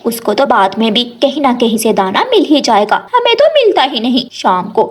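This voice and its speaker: Lady doing a bossy greedy crow wife, high pitched, kids cartoon. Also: very animated, moderate pace, husky. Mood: scheming. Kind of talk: animated Hindi moral story dialogue.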